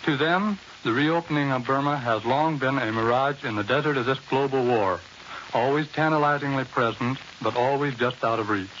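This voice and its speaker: Formal American war correspondent, old hissy radio. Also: male voice, medium pitch, moderate pace, a bit bright, lo-fi sound. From United States. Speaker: war correspondent, interview guest. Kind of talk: scripted 1940s radio news report. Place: New York radio studio. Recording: hissy, band-limited 1940s radio recording.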